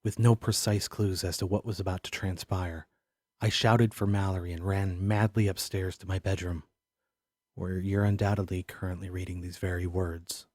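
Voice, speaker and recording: A brooding, haunted man, played by a male actor narrates a dark audio drama in literary, deliberate phrases. His voice sits low and husky, with paranoia under it, and the sound is very dry. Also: little pitch movement, dry recording. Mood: brooding, tense.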